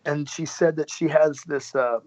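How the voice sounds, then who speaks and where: A man, a podcast guest, talking casually in a halting way, restarting and trailing off. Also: fairly high pitch, fairly even intonation. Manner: halting, restarts and trailing phrases with short laughs. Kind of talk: casual conversation. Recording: dull lo-fi podcast audio.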